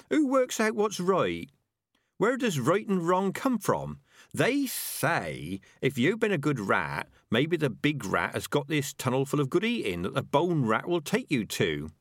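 A man's voice: on the high side, huge pitch swings, unhurried, no echo, studio quality, pitched above the performer's own voice, exaggerated voice. A man voicing a credulous, gossipy rat in an audiobook, talking persuasively and colloquially. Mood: eager, speculative.

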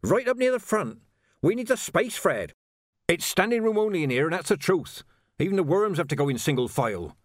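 A man's voice: mid-range voice, lively intonation, moderate pace, fairly bright tone, no echo, studio quality, voice pushed up. A man voicing a morbid, sulky, pleading gravedigger in an audiobook. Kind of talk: audiobook character voice.

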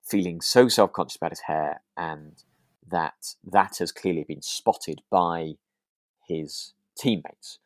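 A man's voice: low-pitched, slow, no echo. A man co-hosting a podcast, chatting casually about a radio drama and circling back over his words as he thinks. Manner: hesitant, repeats himself as he thinks. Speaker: podcast co-host.

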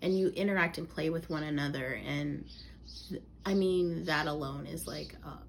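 A woman actor chatting casually as a podcast co-host, relaxed and full of fillers. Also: low-pitched, normal speed, creaky, slight background noise. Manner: relaxed, trailing, filler-heavy phrasing. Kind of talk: casual chat.

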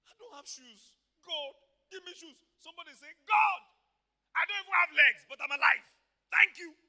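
A man preaching an energetic sermon in a church, swinging from quiet asides to shouted exclamations and acting out voices. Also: very high voice, moderate pace. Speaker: pastor. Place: church hall.

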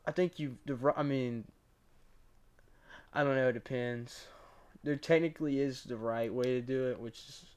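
A man's voice: medium pitch, steady tone, normal speed, pauses often, darkish tone, clean tone. A young man co-hosting a casual podcast, laid-back and chatty, dropping "like" and "bro" often. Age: young adult. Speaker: podcast co-host. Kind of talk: casual chat.

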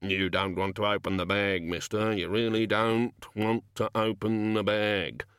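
A male narrator plays a shabby little gnome from a fantasy storybook, cornered and evasive. He speaks slowly in rough, uneducated street talk, hesitating and pleading as he goes, and the sound is close and dry. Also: on the low side, flat delivery, fairly bright tone, dry recording. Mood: warning.

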